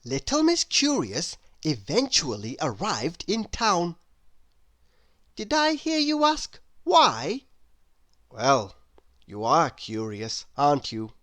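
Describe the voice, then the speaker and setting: A woman's voice: lowish voice, huge pitch swings, slow pace, a bit bright, no room sound, studio quality. A woman narrating a children's story read-aloud expressively, addressing young listeners with playful questions.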